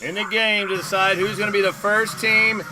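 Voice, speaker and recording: A man hosting an informal live football stream, mixing play-by-play in clipped phrases with chatty shout-outs to viewers. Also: high voice, flat delivery, moderate pace, poor audio. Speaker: livestream host and play-by-play commentator.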